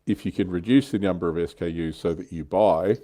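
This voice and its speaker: A man's voice: deep voice, fairly quick, warm tone. A man hosting a livestream, chatting casually and jokingly about tabletop wargaming. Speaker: livestream host.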